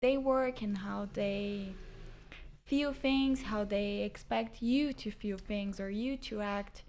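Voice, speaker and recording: Soft-spoken young woman. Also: medium pitch, slow pace, slight background noise. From Brazil. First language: Portuguese. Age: 20s. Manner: soft-spoken, modest, giggly. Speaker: guest, host's partner. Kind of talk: casual relationship chat.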